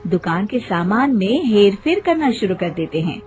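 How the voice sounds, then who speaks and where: A woman's voice: medium pitch, big pitch swings, normal speed, a little mellow, faint music behind. A woman narrator reading a scripted moral tale.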